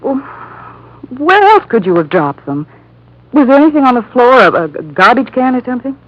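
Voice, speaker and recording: A woman's voice: on the high side, sing-song, moderate pace. A woman playing an anxious, loyal young girlfriend in a 1950s radio drama. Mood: worried, eager. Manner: worried, questioning, earnest. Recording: dull 1950s radio recording.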